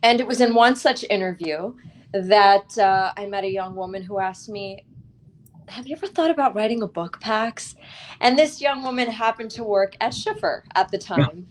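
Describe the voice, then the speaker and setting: A woman author speaking as an interview guest, telling her story in an expressive, articulate and reflective way. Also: mid-range voice, moderate pace, slight background noise.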